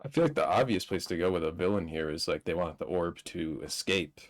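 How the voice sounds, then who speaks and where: A man's voice: deep voice, animated, brisk. A man chatting casually as a co-host in a film discussion. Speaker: movie review show co-host.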